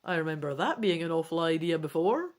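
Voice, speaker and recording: Lively, swooping-voiced woman reading a gamebook. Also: sits low, brisk. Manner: self-deprecating, informal. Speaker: host of a gamebook let's play. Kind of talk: dramatic storybook reading with chatty asides.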